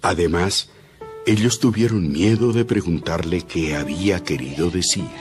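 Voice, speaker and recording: A man's voice: low voice, moderate pace. A man narrating a dramatized scripture reading in a scripted style. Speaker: Bible narrator. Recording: loud music under the voice. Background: music.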